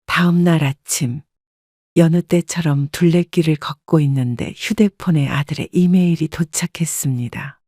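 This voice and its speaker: A woman in her sixties narrating her own story in the first person, calm and reflective. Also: deep voice, moderate pace, no room sound, clean studio sound.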